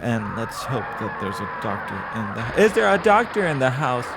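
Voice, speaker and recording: A man's voice: mid-range voice, sing-song, moderate pace, gravelly, very noisy. A man playing a cheery, genteel old-time radio host, speaking in an effusive, theatrical and formal announcer manner. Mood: flustered. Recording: music behind the voice.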